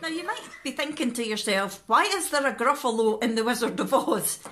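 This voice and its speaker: Bright high-voiced woman vlogging. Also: expressive, fairly quick. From Scotland. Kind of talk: casual vlog chat to camera. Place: living room at home. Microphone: phone or camera at arm's length.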